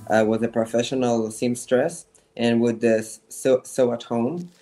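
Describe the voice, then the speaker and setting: A man being interviewed as a guest, telling his life story in a reflective, halting way. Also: mid-range voice, steady tone, dark tone, soft background music. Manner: halting, many fillers and restarts, reflective. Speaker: guest, fashion designer.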